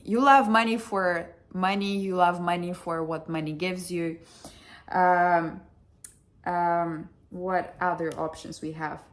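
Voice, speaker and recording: Young russian-accented woman rambling to camera, slow and lowish, blunt and sweary. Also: a bit bright. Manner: blunt, chatty, swears freely, self-mocking. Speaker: vlogger. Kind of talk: casual chat to camera.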